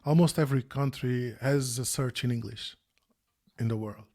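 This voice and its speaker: A man's voice: medium pitch, moderate pace, warm tone. A man, a guest on a video call interview, speaking thoughtfully with many restarts and fillers. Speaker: interview guest, former search quality analyst.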